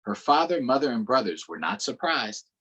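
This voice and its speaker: A man reading a picture book aloud to children in an unhurried way, pausing for short explanatory asides. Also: medium pitch, sing-song, fairly bright tone, breathy edge, lo-fi sound. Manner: unhurried, adds explanatory asides to young listeners. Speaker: storytime reader.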